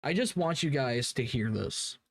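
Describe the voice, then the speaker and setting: Animated, blunt man reacting. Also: mid-range voice, a little slow, creaky. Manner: blunt, mocking, profane. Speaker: reaction video host. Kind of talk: casual reaction commentary.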